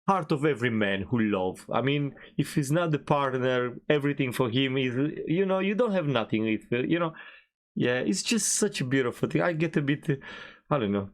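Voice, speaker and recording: A man's voice: mid-range voice, lively intonation, fairly quick. A man speaking English as a second language in casual music reaction chat, a co-host who often searches for his words. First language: not English.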